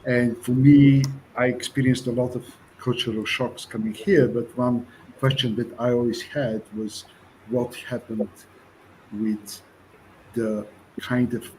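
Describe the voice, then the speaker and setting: A man's voice: mid-range voice, little pitch movement, unhurried, darkish tone, slight background noise, rough recording. A man from Bulgaria on a forum panel, speaking earnestly and a little apologetically, with hesitations. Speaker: panel participant. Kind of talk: discussion on a web forum call.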